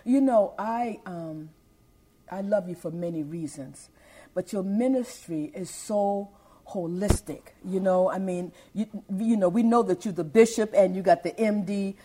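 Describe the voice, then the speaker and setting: A woman speaking quietly from off-mic on a podcast, admiring and halting. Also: medium pitch, a little hiss or hum.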